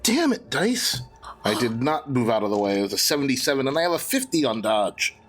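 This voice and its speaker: A man's voice: mid-range voice, very animated, fairly quick, a bit bright. A man playing a tabletop game, talking casually with wry, jokey phrasing over a slightly noisy recording.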